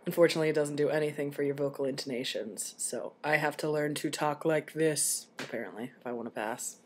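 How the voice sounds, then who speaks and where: A young adult man with a voice slightly deepened early in transition, talking casually and candidly in a personal vlog, with some swearing. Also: fast talker. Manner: candid, self-deprecating, sweary. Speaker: video blogger. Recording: noisy home recording.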